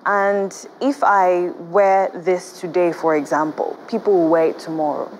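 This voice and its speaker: A young Nigerian woman, a guest on a studio talk show, explains her views in a measured, cautious way on a lapel mic. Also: on the low side, level tone, a little hiss or hum, low-quality recording.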